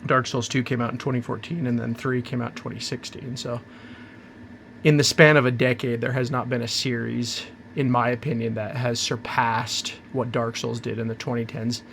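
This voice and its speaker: A man hosting his own channel, chatting casually and rambling through an unboxing, hoarse from a cold. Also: medium pitch, flat delivery, normal speed, fairly bright tone, slight background noise. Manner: rambling, chatty, self-interrupting. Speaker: channel host.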